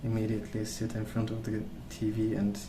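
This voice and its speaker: Soft-spoken low-voiced young man, echoey ASMR. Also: completely flat delivery. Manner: gentle, unhurried, musing aloud. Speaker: ASMR video creator. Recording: echoey room, clear background noise.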